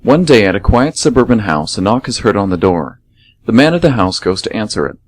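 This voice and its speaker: A man's voice: fairly low pitch, very animated, fairly quick. A man hosting and narrating a radio theater show in scripted announcements and narration.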